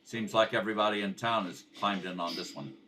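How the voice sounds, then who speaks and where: A man playing a terse, stoic police detective with a deadpan, clipped, polite delivery in a radio crime drama. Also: fairly low pitch, brisk, lightly acted. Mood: dry, patient.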